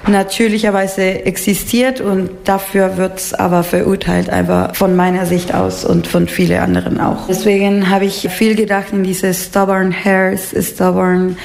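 A woman's voice: medium pitch, flat delivery, measured pace, creaky, hardly any pauses, darkish tone. A woman from Peru with a Peruvian Spanish accent, explaining her art informally in an interview, searching for words in non-native phrasing. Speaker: painter being interviewed.

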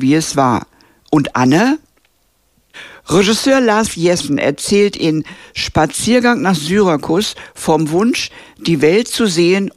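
A woman narrating a scripted radio film review in a measured, even way. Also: low voice, no room sound, clean studio sound.

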